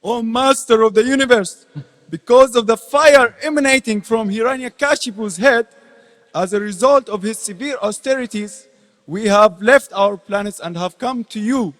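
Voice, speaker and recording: A man's voice: extremely high pitch, normal speed, a bit bright. A man playing a humble, distressed demigod in an amateur stage reading, pleading formally with his master. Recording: reverberant hall.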